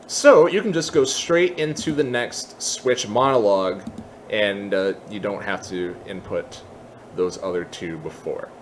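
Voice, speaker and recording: A man giving an unscripted software tutorial, explaining casually step by step and thinking aloud as he goes. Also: lowish voice, a little slow, slight background noise. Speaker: tutorial presenter.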